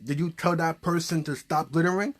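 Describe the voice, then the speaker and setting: A man's voice: on the high side, steady tone, a little fast. A man hosting an improvised puppet show, prompting a story along with conversational questions. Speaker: puppeteer host.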